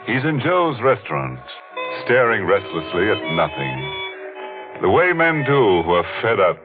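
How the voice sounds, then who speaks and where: A man's voice: on the low side, very animated, a little slow. A man introducing a 1940s radio mystery as host, with theatrical, ominous phrasing. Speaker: radio show announcer and host. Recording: muffled old radio recording with music.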